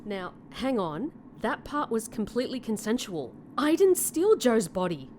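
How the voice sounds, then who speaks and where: Woman playing a lively, wry fantasy heroine. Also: a little high, a little slow, a little hiss or hum. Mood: wry, defiant. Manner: sardonic, plain-spoken. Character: wry, defiant, protective young woman on trial. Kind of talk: scripted fantasy comedy audio drama.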